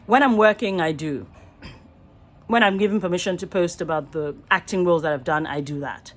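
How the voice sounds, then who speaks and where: A woman's voice: on the low side, brisk. Earnest Nigerian woman vlogging on her phone. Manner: earnest, rambling, stops to rephrase. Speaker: actor and voice artist. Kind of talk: casual selfie vlog musing. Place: small indoor room. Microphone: phone at arm's length.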